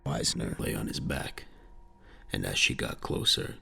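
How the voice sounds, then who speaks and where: A man with a gravelly voice narrating a dramatic audio drama in a grave, measured storytelling manner. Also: pushed and bright. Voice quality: very deep, gravelly. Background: faint music.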